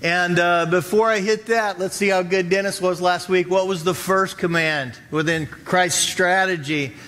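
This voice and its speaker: A man's voice: sits high, fairly even intonation, normal speed, a bit bright, faint music behind. An American man in his forties speaking as a pastor, upbeat and casual, chatting informally with his congregation from a church stage. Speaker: pastor leading a church service. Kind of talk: informal interactive sermon. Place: church auditorium stage, indoors.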